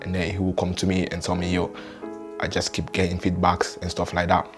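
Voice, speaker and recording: Low, very flat-voiced young man talking. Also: brisk, music in the background. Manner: conversational, meandering with fillers. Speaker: interviewee. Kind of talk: casual personal account.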